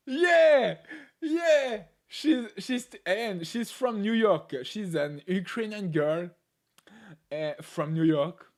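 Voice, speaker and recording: A man hosting a live stream, chatting casually in English with a non-native accent, laughing often and filling pauses with "uh". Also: high-pitched, lively intonation. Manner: laughs often, talks with many "uh" fillers. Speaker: livestream host.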